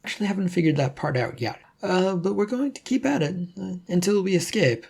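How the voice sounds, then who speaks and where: Sly, irritable female thief for an audiobook, voiced by a narrator; glib, snappy, pitched up. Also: lively intonation, brisk, gravelly, darkish tone, husky. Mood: glib, explaining. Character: brash, quick-witted woman thief, irritable and sly. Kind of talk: audiobook character voice.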